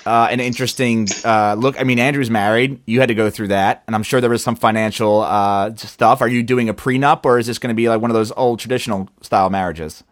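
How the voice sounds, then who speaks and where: A man's voice: medium pitch, fairly even intonation, a little fast, hardly any pauses, dry recording, clean studio sound. A man hosting a podcast, joking and teasing his guest while asking him questions. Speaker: podcast host. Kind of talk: casual podcast chat.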